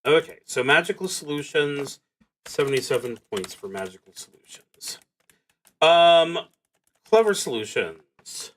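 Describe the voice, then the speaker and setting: A man hosting a solo tabletop roleplaying stream, talking casually and haltingly as he thinks aloud, with long pauses. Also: on the high side, animated, fairly bright tone.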